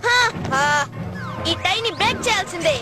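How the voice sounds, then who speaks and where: Little cartoon kid, boy, piercingly high pitch, excitable, childlike, music behind. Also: normal speed. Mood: determined. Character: small, squeaky, apologetic but game cartoon boy. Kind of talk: dubbed cartoon acting.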